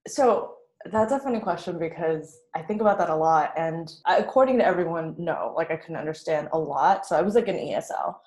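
A woman's voice: fairly low pitch, a little fast, hardly any pauses, darkish tone. A woman whose first language is Vietnamese, a songwriter guest telling her story in a candid, chatty way, full of "like" and little laughs. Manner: chatty, filler-heavy, frequent "like" and laughs.

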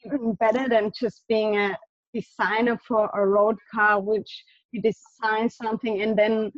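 A woman's voice: a little high, little pitch movement, measured pace, no echo. A young woman with a German accent, answering interview questions in a hesitant way with many restarts. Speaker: guest engineer. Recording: dull lo-fi audio.

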